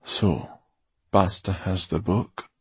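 A man's voice: deep voice, fairly even intonation, slow, husky, no room sound, heavily acted. A man voicing a wry, weary, secretive fire performer with a sardonic, muttering manner in an audiobook. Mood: probing. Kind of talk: audiobook character dialogue.

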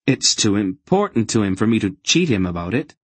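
A man plays an earnest boy hero from a fantasy audiobook, raising his voice above his own. He sounds awkward and stubborn, with lively swings in pitch. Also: fairly quick, breathy edge, no echo. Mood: protesting. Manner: flustered, protesting, firm.

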